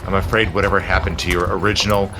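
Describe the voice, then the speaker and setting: An actor playing a suave, zealous immortal gentleman in a period audio drama, with a smooth, persuasive, formal manner. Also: low voice, level tone, fast, noisy background, close to an everyday voice. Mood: explaining, urbane. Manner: smooth, persuasive, theatrical, genteel formal phrasing.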